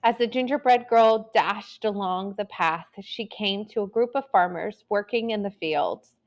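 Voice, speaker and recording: A woman storyteller reading a children's tale aloud in an expressive, deliberate way with dramatic emphasis. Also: medium pitch, muffled recording.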